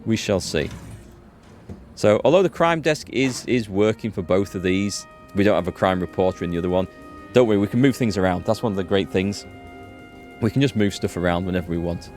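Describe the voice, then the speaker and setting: A man giving casual let's play commentary as host, explaining things and thinking aloud as he goes. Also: lowish voice. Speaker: gaming video host. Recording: music behind the voice. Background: music.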